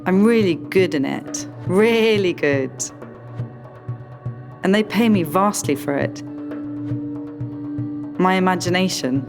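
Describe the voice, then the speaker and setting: A woman playing a whimsical, musing character in a radio play, with deliberate, drawn-out phrasing. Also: fairly low pitch, lively intonation. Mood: playful. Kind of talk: slow, stylised art radio play delivery. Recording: long reverb, music behind the voice.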